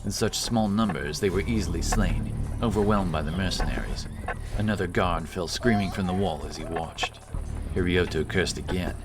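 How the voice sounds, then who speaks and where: Low-pitched male fantasy narrator. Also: normal speed, background noise. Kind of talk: dramatic scripted fantasy narration. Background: cinematic action music.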